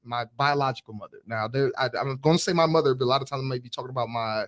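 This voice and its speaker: A man hosting a casual group chat, animated and laughing often. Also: medium pitch, very fast, no room sound, clean studio sound. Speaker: podcast host.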